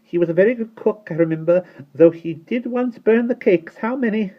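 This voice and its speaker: A reader voicing a tiny, ancient, bossy shopkeeper woman with a shrill, high little voice and a cackling manner, in a storybook reading. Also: moderate pace, highs cut off. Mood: coaxing. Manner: cackling, swings from sweet to fierce.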